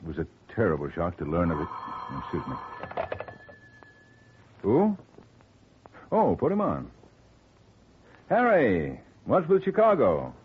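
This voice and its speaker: An actor playing a busy, glib middle-aged lawyer, brisk and folksy, in 1950s radio style. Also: male voice, low voice, wildly swooping pitch, exaggerated voice. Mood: businesslike, then chatty, distracted. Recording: muffled, noisy old radio recording.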